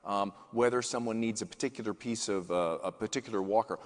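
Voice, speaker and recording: Male executive, panel guest, earnest, restarts a lot, lowish voice, big reverberant hall. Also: fast. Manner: earnest, restarts phrases often. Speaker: CEO guest speaker. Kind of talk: conference panel interview. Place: conference stage in a large hall.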